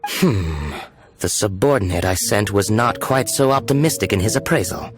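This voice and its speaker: Cold villain agent acted by a man, low voice, formal menace, swooping intonation, video game dialogue. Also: lively intonation, moderate pace, lightly acted. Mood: coolly menacing. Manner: formal, veiled threats. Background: music.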